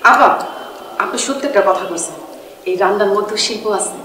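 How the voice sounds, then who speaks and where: A woman's voice: mid-range voice, brisk, fairly bright tone, far from the mic, close to an everyday voice. A woman playing a deferential, eager household cook in a TV drama. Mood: earnest. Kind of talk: Bangla TV drama acting. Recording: echoey room, off-mic, faint noise.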